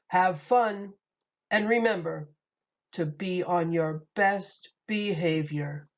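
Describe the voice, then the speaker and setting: Kindly storybook man, gentle and reminding, a woman reading slow, muffled recording. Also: fairly low pitch. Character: kindly grown man, caretaker of a monkey.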